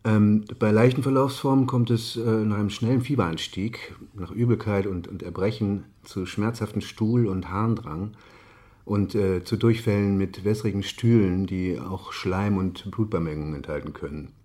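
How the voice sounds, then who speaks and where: A man playing a physician giving a halting expert explanation in a radio play interview, with many ers. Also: fairly low pitch, fairly even intonation, warm tone, natural voice. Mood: matter-of-fact. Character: hesitant male physician explaining disease as studio expert.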